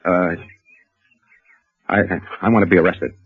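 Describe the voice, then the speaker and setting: An actor playing a meek, weary middle-aged bank messenger in a 1940s radio drama, halting and wistful. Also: male voice, deep voice, fairly even intonation, husky. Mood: hesitant, anxious. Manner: halting, hesitant, repeats himself. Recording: muffled old radio recording with music.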